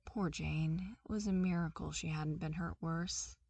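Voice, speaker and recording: A woman reading fan fiction aloud as narrator, with expressive, lively storytelling. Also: low voice, a little slow, gravelly, no room sound. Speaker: podfic reader.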